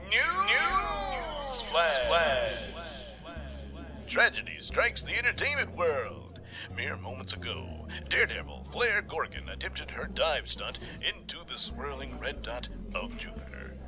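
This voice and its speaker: A man's voice: fairly high pitch, huge pitch swings, slow pace, music in the background, voice pushed up, full character voice. A man playing an urgent, melodramatic newscaster in a space-opera radio play. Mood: urgent, dramatic. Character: urgent, dramatic male news-flash announcer. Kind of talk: melodramatic broadcast news parody.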